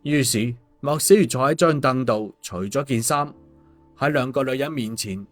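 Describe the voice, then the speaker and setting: Guy reading an audiobook story, mid pitched voice, bit of music behind. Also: moderate pace. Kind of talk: scripted story narration, acting out dialogue. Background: background music.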